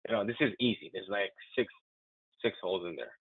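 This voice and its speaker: Enthusiastic man demoing CAM software. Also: fairly low pitch, a little fast, slightly rough, dry recording. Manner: enthusiastic, chatty, many restarts. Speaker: software demonstrator. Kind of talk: live software demo walkthrough. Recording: tinny phone-like, dry audio.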